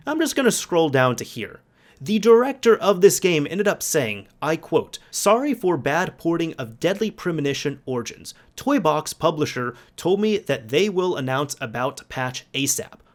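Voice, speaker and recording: A man's voice: a little high, big pitch swings, moderate pace. A man doing casual, conversational commentary about video games, speaking emphatically like an exasperated fan. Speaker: YouTube game commentator.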